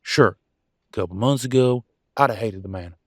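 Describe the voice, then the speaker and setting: A man's voice: on the low side, moderate pace, a bit bright, dry recording, clean studio sound. A man playing a proud, wounded werewolf husband and country vet in a roleplay audio drama, with a drawl and a folksy, bitter, raw way of talking. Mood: indignant, angry. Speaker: voice actor. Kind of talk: dramatic roleplay audio, one side of a couple's argument.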